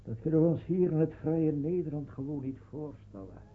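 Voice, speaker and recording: A man's voice: a little high, moderate pace, faint music behind, natural voice. A man playing a weary, patient, realistic father of a refugee family in a radio drama. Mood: patient, resigned. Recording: thin, phone-like old radio recording.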